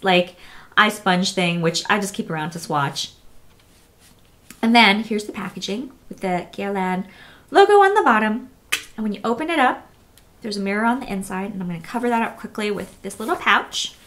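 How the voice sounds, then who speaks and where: A woman beauty blogger speaks at a medium pitch in a casual, conversational way. She sounds chatty and enthusiastic as she walks through a product review for her viewers. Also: a bit bright.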